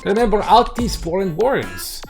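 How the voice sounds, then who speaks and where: A man hosts a visual novel let's play with a very lively, swinging delivery. He reads the game text aloud and breaks in with casual, excitable asides, while soft game music plays behind him. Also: high-pitched, unhurried, gravelly. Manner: excitable outbursts between narrated lines. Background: game background music.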